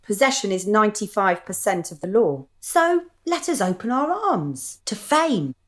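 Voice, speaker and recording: A woman's voice: medium pitch, sing-song, normal speed, lightly acted. A woman playing a haughty, grandiose and withering gang member in a radio comedy. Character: haughty, sharp-tongued female gang member. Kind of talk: radio comedy drama acting.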